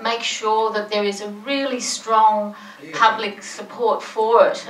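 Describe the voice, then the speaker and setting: A woman's voice: mid-range voice, a bit bright. A woman, earnestly urging action from the floor of a forum. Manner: earnest and organizing, with some restarts. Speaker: audience member. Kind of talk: comment from the floor. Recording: echoey hall from a distance.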